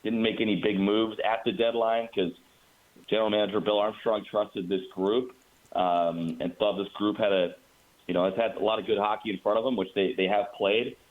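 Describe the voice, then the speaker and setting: A man's voice: on the low side, fairly even intonation, moderate pace. An American man, a radio play-by-play announcer, giving conversational sports analysis as a guest over a remote call. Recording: muffled phone-like call.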